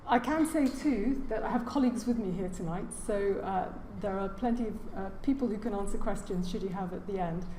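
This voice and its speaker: A soft-spoken woman giving a formal lecture as a guest speaker, with frequent hesitations and fillers. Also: mid-range voice, brisk, few pauses. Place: large lecture room. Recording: echoey lecture hall, faint noise.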